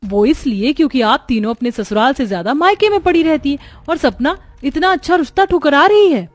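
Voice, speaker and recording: A woman voicing a resentful, sharp-tongued young married sister-in-law in a cartoon story, in a complaining and scolding manner. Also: sits high, expressive, a little fast, dry recording. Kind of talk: Hindi cartoon story dialogue. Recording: music behind the voice.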